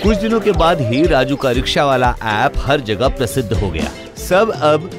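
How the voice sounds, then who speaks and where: A man's voice: medium pitch, big pitch swings, a little slow. A man narrating a scripted cartoon story. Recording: music behind the narration.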